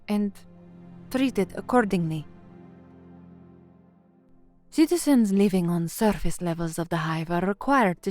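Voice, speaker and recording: An earnest young female newsreader in a science fiction radio play, acted by a woman. She speaks formally with an uneasy undercurrent, at a middling pitch. Faint music plays behind her. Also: a little mellow, lightly acted. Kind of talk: audio drama acting, formal newsreading and introspective narration.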